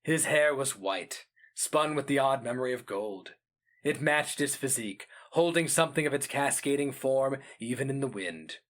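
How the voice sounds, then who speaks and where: A man giving a grave, measured, theatrical reading of epic fantasy as the narrator. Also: medium pitch, animated, a bit bright.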